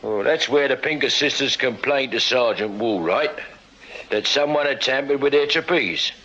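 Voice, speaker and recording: A bright-voiced London constable acted by a man, deferential and plain-spoken, a touch bumbling when he reads aloud. It is period radio drama on a slightly dull, faintly hissy recording. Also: mid-range voice, normal speed, natural voice. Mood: earnest.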